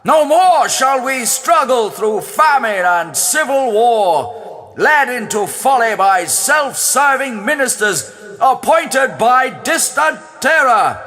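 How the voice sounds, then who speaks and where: A man voicing a pompous middle-aged high priest, delivering grandiose, declamatory oratory to rally the masses in an audio drama. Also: bright, forceful tone, exaggerated voice. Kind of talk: shouted, drawn-out oratory.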